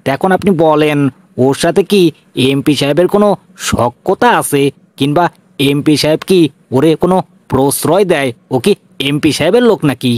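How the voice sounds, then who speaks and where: A man plays a blustering cartoon police inspector with a rural Bangladeshi accent. The voice is fairly high and close and dry, with a swaggering, emphatic delivery. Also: moderate pace, a little mellow, dry recording, lightly acted. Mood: boastful. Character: boastful, blustering male police inspector, comically tough. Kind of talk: comic cartoon dialogue.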